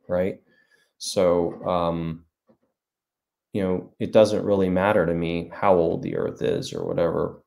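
Gravelly low-voiced man chatting on a livestream. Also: darkish tone. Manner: rambling, self-deprecating, many fillers. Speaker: author hosting a livestream. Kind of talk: casual livestream chat answering viewer comments. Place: small room at home, indoors. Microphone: webcam at desk.

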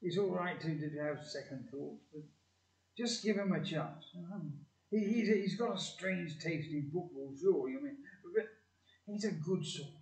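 A man's voice: fairly high pitch, lively intonation, darkish tone. Man acting a hesitant father, far from mic. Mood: reassuring. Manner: hesitant, halting, earnest. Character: gruff, tender working-class father to a grown daughter. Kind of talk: amateur community audio play. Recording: distant, echoey, dull hall recording.

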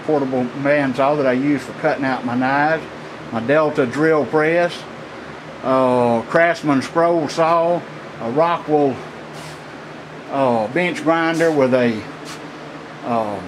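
A middle-aged man, a knife maker giving a casual, rambling tour of his small metal workshop, with many pauses and fillers. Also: a little high. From Louisiana, USA. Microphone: handheld camera mic. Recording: noisy handheld camera audio.

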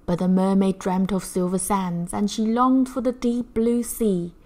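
A woman narrating a rhyming children's picture book in a measured, rhythmic, theatrical way. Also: mid-range voice, little pitch movement, warm tone. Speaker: children's story reader.

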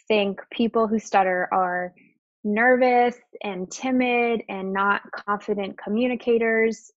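A woman's voice: mid-range voice, no room sound. A young adult woman who stutters at times, presenting slides in a webinar in an open, conversational way. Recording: dull, lo-fi webinar audio.

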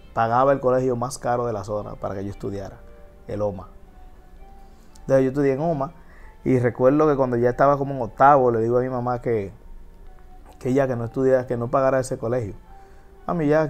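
A Dominican man in his thirties talks through his story in an easy, rambling way, often restarting his sentences. His voice sits at an everyday middle pitch, with faint music in the background of the studio. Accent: Dominican Spanish. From Dominican Republic. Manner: relaxed, chatty storytelling with frequent restarts. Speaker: guest DJ and TV host. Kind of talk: casual interview chat. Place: TV studio set.

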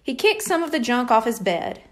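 A woman reading a children's storybook aloud in an expressive narrating style. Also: a little high, moderate pace. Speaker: audiobook reader.

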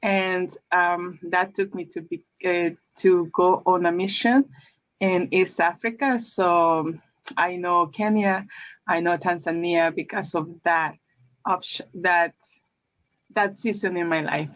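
A woman's voice: on the low side, fairly even intonation, takes it slowly, fairly bright tone. A woman, a guest chatting over a video call, hesitant with many restarts. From El Salvador. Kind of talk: casual interview chat. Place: room with brick wall. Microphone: webcam on a video call. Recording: dull lo-fi sound.

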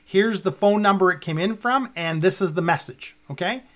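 A man in his fifties with a fairly high voice presents a software walkthrough. He speaks casually, talking himself through each step as he works on screen. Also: moderate pace. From Canada. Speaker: tutorial presenter. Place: indoors, at a computer.

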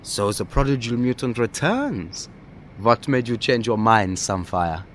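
A man's voice: medium pitch, big pitch swings, unhurried, slight background noise. An actor playing a teasing, mischievous male mutant superhero in an audio drama, with taunting, formal phrasing.

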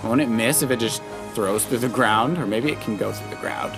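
A man's voice: medium pitch, fairly quick. A man chatting casually as a dad co-hosting a video game playthrough, giving encouraging tips and suggestions. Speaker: dad co-hosting a let's play with his child. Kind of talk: casual gameplay chat and coaching. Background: loud video game music.

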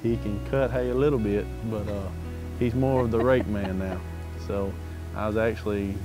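A low-voiced Southern man chatting folksily in a farm profile video, with music playing underneath. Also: steady tone, normal speed. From Arkansas, United States. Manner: folksy, plainspoken. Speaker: farmer. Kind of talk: casual interview storytelling. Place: outdoors in an open pasture.

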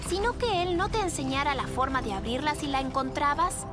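A woman's voice: sits high, lively intonation, fairly quick. A woman voicing an outspoken, sensible young female adventurer in a Latin American Spanish cartoon dub. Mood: skeptical. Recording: music behind the voice.

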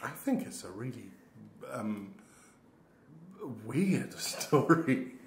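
A man hosting a podcast in casual, halting talk with a dry, teasing streak. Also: mid-range voice, big pitch swings. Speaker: podcast host. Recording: roomy, echoing sound.

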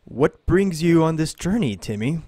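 A man's voice: fairly high pitch, expressive, moderate pace, warm tone, slightly rough, dry recording, studio quality. A man playing an eccentric, theatrical, condescending oddball philosopher in an audio drama. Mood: musing.

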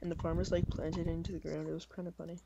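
A woman's voice: lowish voice, little pitch movement, rapid-fire, vocal fry, heavy background noise. A teenage girl with a slight lisp, chatting and teasing casually during a game. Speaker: friend in game session. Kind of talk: casual gaming chat. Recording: dull lo-fi mic with loud clicks.

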